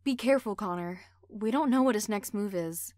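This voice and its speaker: A woman's voice: medium pitch, moderate pace, a little mellow, no room sound, studio quality, lightly acted. A woman voicing a worried young friend in an amateur roleplay, concerned in tone.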